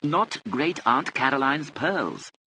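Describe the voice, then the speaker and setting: A man's voice: medium pitch, big pitch swings, slow pace, sharp tone, a little hiss or hum, voice pushed up, heavily acted. A man voicing a flustered, exasperated English lord, clipped and incredulous, in an audiobook. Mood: alarmed.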